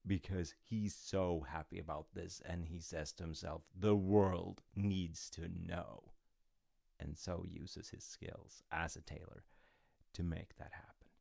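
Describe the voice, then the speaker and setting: A man playing a gentle, wryly amused dad who tells his kid a folktale in an unhurried, musing, conversational way for an audio roleplay. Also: deep voice, fairly even intonation, vocal fry, no room sound, clean studio sound, exaggerated voice. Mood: amused storytelling. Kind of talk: audio roleplay, rambling bedtime-style storytelling.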